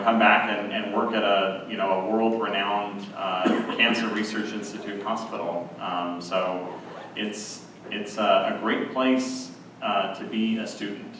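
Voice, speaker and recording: A man's voice: on the low side, steady tone, a little slow, a bit bright. A man in his forties, a school board member, speaking hesitantly with many fillers at a public meeting. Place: meeting room. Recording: echoey room, distant mic, faint hiss.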